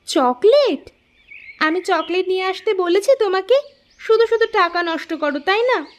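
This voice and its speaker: A woman's voice: very high-pitched, expressive, measured pace. A woman voicing a young village wife in a cartoon, scolding and teasing in an exclamatory way. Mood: scolding, surprised. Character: young village wife, nagging yet affectionate. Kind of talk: Bengali cartoon voice acting.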